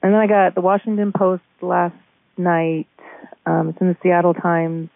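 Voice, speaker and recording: A woman speaks over a tinny, band-limited phone connection with a noticeably creaky edge. She recounts things casually as an interviewee, often restarting and repeating words as she goes. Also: on the low side, level tone, normal speed, no room sound. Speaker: store manager being interviewed. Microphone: telephone call.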